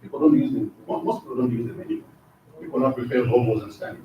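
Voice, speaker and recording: A man's voice: mid-range voice, quick pace, a little hiss or hum. A middle-aged man from Zambia teaches a class in a casual lecture style, often checking in with "right?" and repeating words as he goes. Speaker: trainer. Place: training classroom. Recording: echoey room, distant mic, dull sound.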